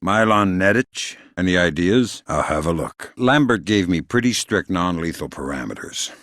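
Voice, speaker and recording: A man playing a middle-aged, dry-witted, gruff covert operative in a video game, deadpan, sardonic and quietly menacing. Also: low voice, moderate pace, no echo. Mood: focused, terse. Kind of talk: video game voice acting, isolated dialogue lines.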